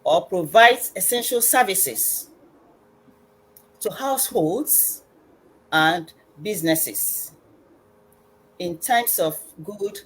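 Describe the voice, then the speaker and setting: A middle-aged woman from Nigeria, an educationist and business consultant, speaking formally and deliberately on an online panel. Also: medium pitch, lively intonation, a bit bright, rough recording. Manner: slow, measured, formal delivery with pauses.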